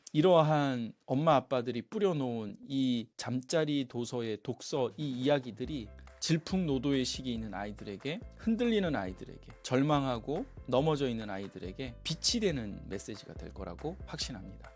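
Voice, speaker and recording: Man presenting with lively, swinging intonation over music. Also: medium pitch. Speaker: host of a parenting education channel. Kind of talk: informal presentation of book recommendations with advice to parents. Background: background music.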